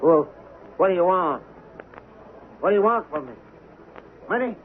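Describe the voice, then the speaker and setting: On a muffled, hissy vintage radio recording, an anguished man of middle years. His voice sits fairly high and moves slowly, agitated and pleading, like someone hounded by guilt in a radio play. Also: animated. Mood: frightened, defensive. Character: guilt-ridden, frightened, pleading older husband, town's respected citizen. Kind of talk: old-time radio drama acting.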